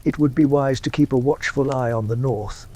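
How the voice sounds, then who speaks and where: A man voicing a sly, cunning male spymaster who speaks in a hushed, conspiratorial way, in an ASMR roleplay story. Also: medium pitch, normal speed, voice pushed up, close to an everyday voice.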